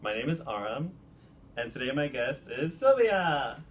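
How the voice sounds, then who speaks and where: A man hosting a casual interview, friendly and quick to laugh, putting questions to his guest. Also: mid-range voice, very animated, soft background music. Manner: friendly, laughs easily, offers short comments. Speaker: interview host.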